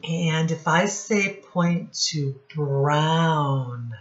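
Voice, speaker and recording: A woman teacher speaking to young children in a singsong instructional style, with simple phrases and deliberate repetition. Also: deep voice, echoey room. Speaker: children's teacher and read-aloud host. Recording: dull, lo-fi sound.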